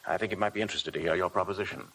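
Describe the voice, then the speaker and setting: Male, English accent, prickly brooding husband, low and quick, clipped; vintage radio drama. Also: pushed and bright. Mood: curt, tense. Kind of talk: 1950s radio drama acting. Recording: noisy vintage radio broadcast recording.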